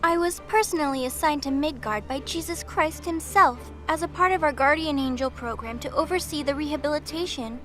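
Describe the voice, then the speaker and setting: Young female archangel agent voiced by a woman: very high voice, earnest, dutiful, formal audio drama delivery. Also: fairly quick, few pauses, bright, forceful tone, faint music behind. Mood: official, earnest. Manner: earnest, formal, rattles off explanations.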